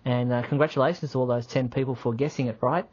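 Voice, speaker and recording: A man co-hosts a fan podcast in relaxed, teasing chat with many fillers. His voice sits at a middle pitch, and the recording is a bit dull. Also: quick pace. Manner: friendly, teasing, uses fillers. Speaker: podcast co-host. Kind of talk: casual chat. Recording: slightly muffled podcast audio.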